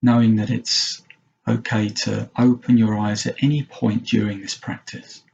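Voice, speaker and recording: A man leading a guided mindfulness meditation as a teacher, speaking gently and unhurriedly in short phrases with long pauses. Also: fairly low pitch, little pitch movement, vocal fry, highs cut off, a little hiss or hum.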